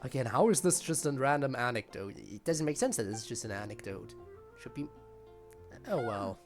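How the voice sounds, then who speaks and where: A male streamer with a medium-pitched voice gives casual gameplay commentary. He rambles bluntly and grumbles, with faint music behind him. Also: sing-song, normal speed. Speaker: game streamer.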